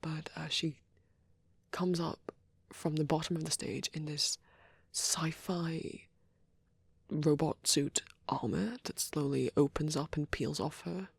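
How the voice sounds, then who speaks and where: A woman with a low, husky voice speaks softly and close to the microphone in a very dry recording. She rambles in an ASMR style, shy and hesitant, often sinking into a breathy half-whisper. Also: steady tone, slow pace, no echo. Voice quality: soft, breathy. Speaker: ASMR roleplay creator. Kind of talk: soft rambling ASMR chat.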